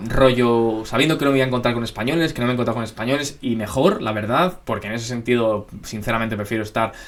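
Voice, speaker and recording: A man's voice: medium pitch, fast. A young man from Spain with a Madrid accent, hosting a casual question-and-answer video and talking in a rambling way, full of filler tags and self-corrections. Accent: Madrid Spanish. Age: young adult. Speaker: basketball channel host. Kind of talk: casual Q&A monologue.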